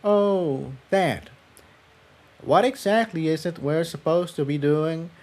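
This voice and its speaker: A man voicing a gentle, shy young female pony in a soft, hesitant storybook voice. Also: a little high, takes it slowly, voice pushed up. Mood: shy, unsure.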